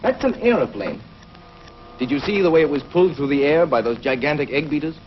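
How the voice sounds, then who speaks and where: An actor playing an earnest, learned scientist from the future in 1950s television science fiction, speaking in a deliberate, explanatory, professorial way. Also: male voice, a little high, expressive, lightly acted. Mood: explaining. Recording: noisy, dull 1950s television soundtrack.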